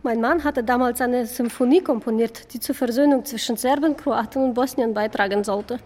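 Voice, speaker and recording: A woman's voice: on the high side, moderate pace, darkish tone, in a large hall, close to an everyday voice. A woman playing a proud, grieving Serbian widow in a German radio crime drama, dignified and defensive. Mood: solemn.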